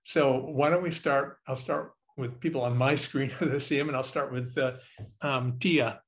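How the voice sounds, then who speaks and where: An older man hosting an online alumni panel from his home study, in a relaxed, rambling way. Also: medium pitch, moderate pace. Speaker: panel moderator. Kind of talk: webinar moderating. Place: home study, on a video call. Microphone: video call.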